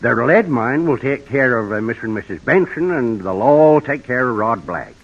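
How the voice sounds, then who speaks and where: Man playing a folksy, animated cowboy sidekick. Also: medium pitch, normal speed, close to an everyday voice. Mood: jovial, wry. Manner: folksy western dialect. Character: jovial, hungry male cowboy sidekick, folksy. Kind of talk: 1950s western radio drama acting. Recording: dull old radio recording.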